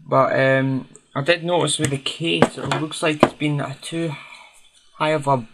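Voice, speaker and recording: Male hobbyist restorer, fry-laden voice, hesitant workbench narration; rough, noisy home recording. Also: a little high, fairly even intonation, a little slow. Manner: hesitant, thinking aloud while tinkering. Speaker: hobbyist restorer hosting a video. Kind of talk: casual unscripted workbench commentary.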